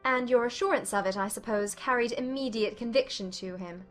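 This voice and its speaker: Woman playing a high-voiced, candid young gentlewoman. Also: a little fast, faint music behind, close to an everyday voice. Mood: gently teasing. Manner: polite, formal period English. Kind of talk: dramatized classic romance audiobook acting.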